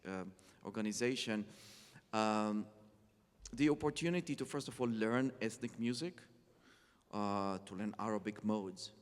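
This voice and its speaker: A man speaking reflectively as a guest on stage, halting and repeating phrases for emphasis. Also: lowish voice, clean tone. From Israel. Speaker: interview guest, orchestral cellist. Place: on stage in a concert hall. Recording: reverberant concert hall.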